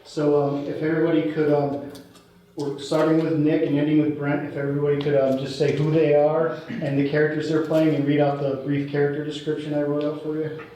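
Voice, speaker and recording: A man's voice: on the high side, flat delivery, a little fast, dark tone. A man leading a table read as the writer, giving informal instructions to a group. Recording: very echoey, muffled room recording, noise.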